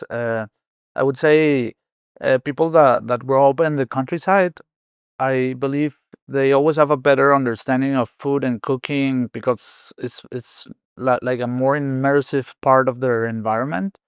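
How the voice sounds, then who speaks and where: A man's voice: medium pitch, fairly even intonation, measured pace, clear, resonant tone, no room sound. A man who grew up in Venezuela, a chef speaking as a podcast guest, thoughtful and full of restarts and fillers. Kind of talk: podcast interview.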